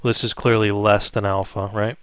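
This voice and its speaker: Low-voiced man teaching math step by step. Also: flat delivery, fairly quick, clean tone, no room sound, studio quality. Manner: thinks aloud, frequent check-in tags like "right?". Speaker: math instructor. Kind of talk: improvised step-by-step spreadsheet tutorial.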